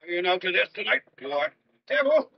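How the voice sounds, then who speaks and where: An actor playing a gruff but kindly, exasperated police sergeant in a thriller audio drama, with a blunt, coaxing, colloquial way of talking. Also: male voice, high-pitched, lively intonation, fairly quick, muffled recording, a little hiss or hum, full character voice. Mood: straining, urgent, coaxing. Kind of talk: old-fashioned thriller audio drama acting.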